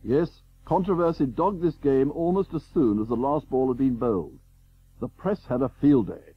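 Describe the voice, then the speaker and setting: Actor as husky documentary narrator. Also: male voice, medium pitch, moderate pace, lightly acted. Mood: solemn. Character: mock-solemn English documentary narrator. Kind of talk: scripted narration. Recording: dull, lo-fi radio recording.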